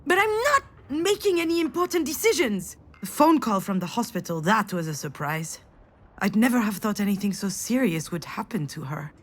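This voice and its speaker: Anxious, wry woman, animated pitch. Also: moderate pace. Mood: indignant. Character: anxious, sardonic, self-deprecating failed screenwriter, adult daughter. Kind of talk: visual novel voice acting, inner monologue and dialogue.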